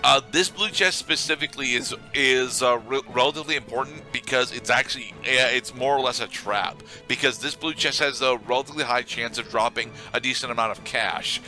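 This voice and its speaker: A man's voice: mid-range voice, sharp tone, poor audio. Rambling man commentating over game music. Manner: halting, rambling explanations. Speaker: let's play commentator. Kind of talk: casual gameplay commentary. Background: video game music.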